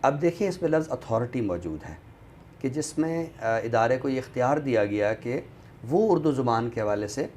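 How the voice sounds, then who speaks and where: Deliberate Pakistani man, some background noise. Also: mid-range voice, lively intonation, darkish tone. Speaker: guest commentator on a literary show. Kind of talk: thoughtful spoken discussion.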